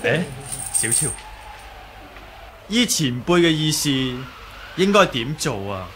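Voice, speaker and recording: Radio drama lead: earnest young swordplay hero, formal and courteous, lively highish voice, Hong Kong Cantonese. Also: male voice, slow pace, full character voice. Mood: composed. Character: courteous, composed young male martial arts hero. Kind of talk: 1980s radio drama acting. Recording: noisy old 1980s radio recording.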